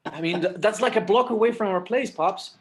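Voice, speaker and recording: A man playing a patient, slightly exasperated adult son in a screenplay table read, casual and halting, with bits of Spanish. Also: high-pitched, fairly bright tone. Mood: incredulous.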